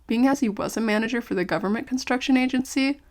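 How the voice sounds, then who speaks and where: An actress playing a curious, courteous investigative podcaster in an audio drama, speaking conversationally. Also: female voice, fairly high pitch, quick pace, a little mellow, close to an everyday voice. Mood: confiding. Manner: courteous, conversational, addresses listeners directly. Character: curious, polite female investigative podcaster among zombie fighters.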